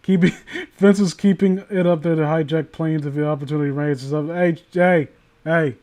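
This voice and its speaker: A man's voice: a little high, fairly even intonation, normal speed, dark tone. A man from New York City hosting a stream, reading a story aloud with sarcastic, joking, foul-mouthed banter.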